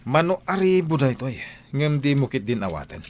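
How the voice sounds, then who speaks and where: An actor playing a stubborn, short-tempered husband turned crook in an Ilocano radio drama, blunt and agitated. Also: male voice, mid-range voice, very animated, a little fast, a little hiss or hum, lightly acted. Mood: insistent.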